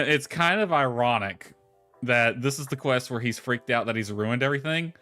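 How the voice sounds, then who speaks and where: A man's voice: medium pitch, bright, forceful tone, clean tone, no room sound. A man giving casual live gameplay commentary, rambling and thinking aloud as he goes. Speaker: game streamer. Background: background music.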